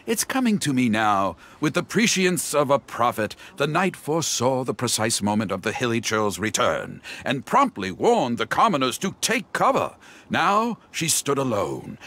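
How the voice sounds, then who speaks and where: A male actor voicing an excitable, flamboyant storyteller in a video game, given to grandiose, dramatic flourishes. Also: medium pitch, slight background noise, heavily acted. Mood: grandiose, excited. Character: excitable theatrical male storyteller gathering heroic material. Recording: music under the voice.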